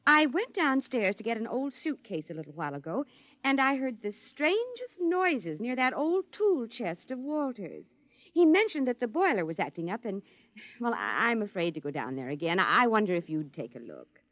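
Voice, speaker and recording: An actress in a 1950s radio drama playing a trusting, nervous housewife, sweet and hesitant. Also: female voice, fairly high pitch, very animated, normal speed, fairly bright tone, dry recording. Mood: uneasy.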